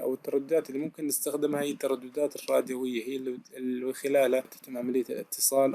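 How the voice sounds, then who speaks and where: A man's voice: medium pitch, dark tone. A man giving a recorded technical lesson, explaining step by step and answering his own questions with frequent hesitations. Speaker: lecturer.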